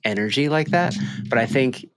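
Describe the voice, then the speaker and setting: A man's voice: medium pitch, lively intonation, normal speed, noisy background. A man chatting casually as a podcast co-host, slangy and enthusiastic. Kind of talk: casual chat.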